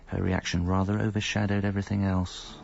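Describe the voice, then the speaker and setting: A man's voice: deep voice, flat delivery, brisk, creaky, darkish tone. An actor playing a middle-aged British married man who confesses his double life in a wry, articulate, self-mocking way, as radio comedy narration. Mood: wry, confessional. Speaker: narrator and lead character. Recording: dull lo-fi sound, faint music.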